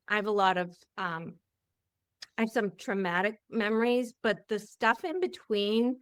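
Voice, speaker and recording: A middle-aged woman hosting a podcast, talking in a candid, reflective way with a loud voice that carries. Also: mid-range voice, clean tone, no room sound, studio quality. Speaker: podcast host. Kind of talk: casual interview chat.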